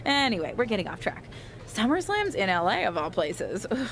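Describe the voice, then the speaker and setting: A woman's voice: medium pitch, sing-song, moderate pace, a bit bright, a little hiss or hum. An actress playing a wry, chatty documentary interviewer in a wrestling video game cutscene, probing as she talks.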